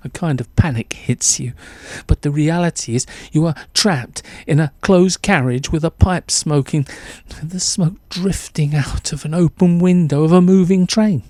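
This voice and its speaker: A man's voice: fairly high pitch, normal speed, hardly any pauses, darkish tone, no room sound. Dry, close-sounding male story narrator. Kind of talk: dramatic second-person short story narration.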